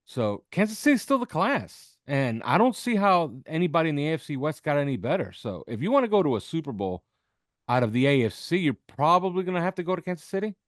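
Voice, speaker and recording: A man's voice: fairly high pitch, dry recording. Man giving emphatic football analysis, dry sound. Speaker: podcast guest football analyst. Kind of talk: unscripted sports analysis.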